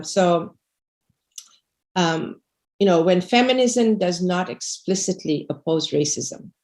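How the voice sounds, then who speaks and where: A woman's voice: low-pitched, vocal fry, darkish tone. A middle-aged woman from Bangladesh, an activist presenting slides on a video call, speaking earnestly with many fillers and restarts. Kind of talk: presenting slides in a livestream talk. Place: home room indoors. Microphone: video call webcam.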